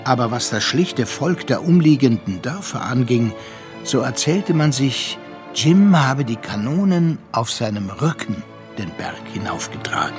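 An actor playing a worldly narrator in a radio drama, telling the tale in a measured, literary way. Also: male voice, mid-range voice, very animated. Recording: music behind the voice.

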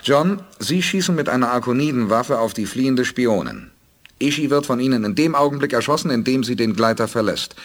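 A husky, commanding spaceship captain in an eighties sci-fi radio play, played by a man. He briefs his crew calmly and precisely, with lively inflection. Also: medium pitch, fairly quick, lightly acted. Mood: calm authority. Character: resolute, calm male spaceship commander, idealistic planner. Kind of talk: German 1980s radio play acting.

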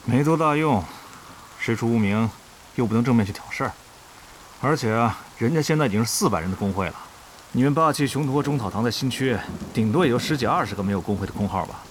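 A man playing a measured, authoritative and calculating online guild leader in an audio drama. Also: mid-range voice, expressive, a little hiss or hum, lightly acted, rough recording. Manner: measured, decisive. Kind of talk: Chinese audio drama dialogue.

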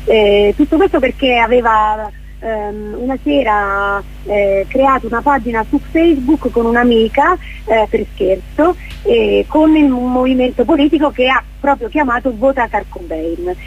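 High, husky woman on lo-fi radio. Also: moderate pace. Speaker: theatre performer, interview guest. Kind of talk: casual radio interview. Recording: dull lo-fi radio sound.

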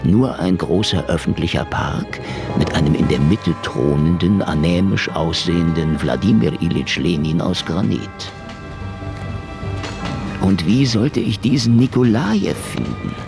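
A laconic private eye in a radio play. His voice sits low but moves with a lot of melody. He is deadpan and dryly ironic, with music underneath. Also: measured pace, vocal fry, exaggerated voice. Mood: wry narration. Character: wry, laconic male private detective, hard-boiled snooper. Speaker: actor in a radio play, narrator and lead. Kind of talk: hard-boiled radio drama acting with first-person narration.

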